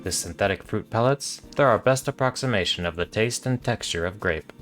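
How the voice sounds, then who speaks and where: Guy streaming a game read-aloud, lowish monotone voice, bit of music in the back. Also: a little fast. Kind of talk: read-aloud of video game dialogue with casual asides.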